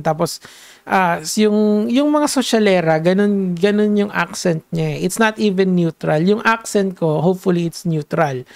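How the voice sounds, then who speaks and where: A man's voice: a little high, creaky, no room sound. A man with a Filipino accent doing casual reaction vlog commentary, switching between Tagalog and English in a rambling, lecturing way. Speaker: online commentator.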